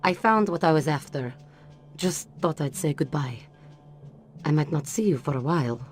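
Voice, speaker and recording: A woman's voice: deep voice, animated, moderate pace, darkish tone, soft background music. An actress playing a self-assured, teasing female spy in a video game, with a flirtatious manner. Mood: cool, playful. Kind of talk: video game cutscene acting.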